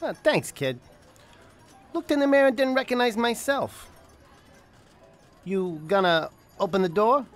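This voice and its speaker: A woman's voice: fairly high pitch, sing-song, measured pace, pauses often, faint music behind. A woman playing a breezy, streetwise businessman in a 1920s-style radio drama, slangy and wisecracking, friendly but guarded.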